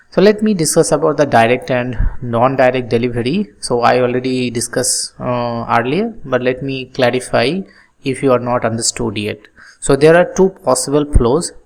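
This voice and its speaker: Instructor doing a software tutorial, mid pitched voice, goes step by step, kinda halting phrasing. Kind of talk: explanatory software tutorial lecture with examples.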